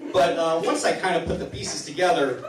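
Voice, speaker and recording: A man hosting a live comedy show, telling stories in a halting, rambling way with stammered restarts. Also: a little high. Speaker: host of a comedy town hall show. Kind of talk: stand-up comedy monologue to a live audience. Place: indoor live comedy venue with audience. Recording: roomy, distant, noisy live venue recording.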